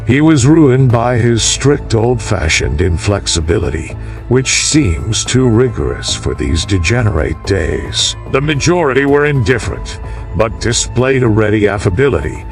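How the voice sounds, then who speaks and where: A man reading a classical history as a scripted audiobook, in a measured, formal, oratorical manner. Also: on the low side, very animated, vocal fry, soft background music. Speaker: audiobook narrator.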